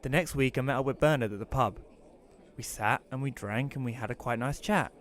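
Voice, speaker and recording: A man plays a reclusive, slightly awkward widower in an audio drama. He tells the story in the first person at an ordinary male pitch. The delivery is informal and conversational. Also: fairly quick, natural voice. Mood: reflective narration.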